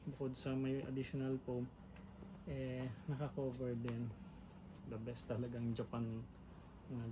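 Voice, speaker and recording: A man chatting casually through a how-to vlog, describing each step as he works with his hands. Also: medium pitch. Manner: chatty, narrates while working with his hands; relaxed, mixes English into his talk. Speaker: vlogger. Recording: clear background noise.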